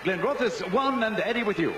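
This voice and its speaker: A man giving excited, projecting, showman-like commentary as presenter of an outdoor game show. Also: high voice, normal speed. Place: outdoor park event. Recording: echoey outdoor PA, loud cheering crowd noise.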